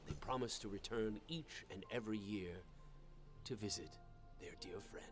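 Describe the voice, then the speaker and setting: A man narrating an animated fairy tale as a gentle, measured, solemn storyteller. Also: on the low side, lightly acted. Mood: measured, tender. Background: music.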